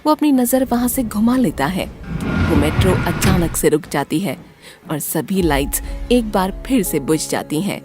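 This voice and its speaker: A woman narrating a scripted horror story in a dramatic, deliberate storytelling manner. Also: fairly low pitch, lively intonation, loud music behind the voice.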